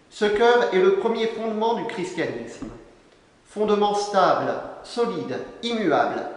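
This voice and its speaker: A young man preaching a formal sermon from a lectern, solemn and measured, phrase by phrase, recorded in a large church. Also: sits high. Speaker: canon preacher. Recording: long echo of a large church.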